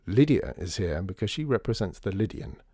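Wry, confident ex-store owner read by a man, low and dry, measured and theatrical, storybook. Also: sing-song, warm tone, husky, dry recording, exaggerated voice. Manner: measured, knowing, slightly theatrical.

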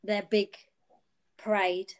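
A woman's voice: medium pitch, little pitch movement, slow. A British woman in her twenties, co-hosting a casual podcast chat, rambling and chatty with lots of fillers. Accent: British English. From United Kingdom. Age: 20s. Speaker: podcast co-host.

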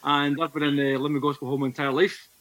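A man's voice: a little high, flat delivery, fast, a bit bright, dry recording. A Scottish man in his forties, a podcast guest telling his personal faith story in an earnest, reflective way. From Scotland. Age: 40s. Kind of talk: personal testimony in conversation.

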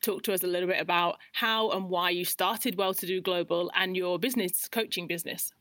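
A woman's voice: fairly low pitch, steady tone, a little fast, fairly bright tone, no echo, studio quality. A woman hosting a podcast interview, introducing her guest and asking questions in an upbeat, emphatic way. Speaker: podcast host. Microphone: remote video call.

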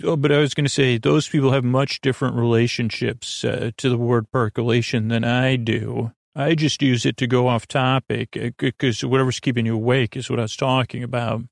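A man hosting a bedtime podcast in a gravelly voice, meandering haltingly through repetitive, digressive thoughts that trail off mid-sentence. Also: mid-range voice, steady tone, dry recording, studio quality. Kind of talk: rambling, meandering bedtime monologue.

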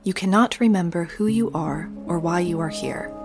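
A woman playing a calm guide, reading second-person audio drama narration in a deliberate, instructing way. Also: on the low side. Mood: calm, guiding. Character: calm guiding narrator waking a lost dreamer. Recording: clear music under the voice.

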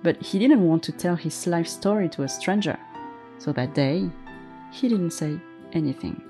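A woman narrating a story in a gentle, measured, scripted way. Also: on the low side, animated. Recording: faint music underneath.

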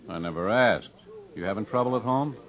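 An actor playing a laconic, wry moonshiner's helper in a 1950s radio drama. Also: male voice, on the low side, brisk, natural voice. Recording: muffled 1950s radio broadcast recording.

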